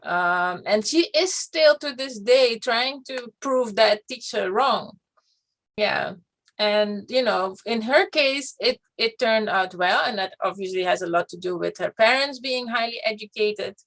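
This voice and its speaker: A woman's voice: on the high side, measured pace, a bit bright. A middle-aged woman, a guest in an interview, telling a personal story in a reflective, hesitant way. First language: Dutch. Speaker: guest education researcher. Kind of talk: interview conversation.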